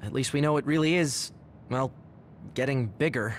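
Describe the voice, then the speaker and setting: A man's voice: medium pitch, normal speed, close to an everyday voice. A man playing a confident, easygoing young informant in a video game. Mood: upbeat. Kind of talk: video game cutscene acting. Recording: faint music behind the voice.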